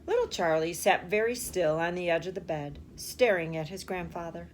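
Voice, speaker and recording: A woman's voice: on the low side, animated, moderate pace, slight background noise. A woman teacher reading a storybook aloud expressively, speaking directly to children and asking them questions.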